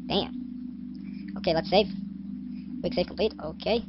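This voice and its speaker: A man's voice: high-pitched, a little slow, lo-fi sound, background noise. A young man from Egypt with an Egyptian accent, reading a text game aloud and reacting with laughs and chatty asides, recorded on a headset in a bedroom. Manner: chatty, laughs at himself, reads narration with dramatic flair. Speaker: gaming video host.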